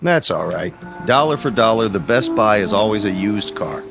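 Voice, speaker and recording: An actor playing a worried, resigned husband in a radio drama, speaking in a dry conversational way. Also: male voice, on the low side, expressive, normal speed, creaky, music in the background. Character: worried, resigned husband shopping for a used car. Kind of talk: naturalistic radio drama acting.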